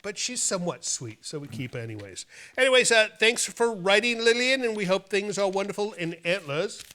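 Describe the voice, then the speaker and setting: A man's voice: sits high, animated, brisk, sharp tone. A man hosting a show, reading out mail and bantering in a theatrical, playful way. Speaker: host of a horror movie show.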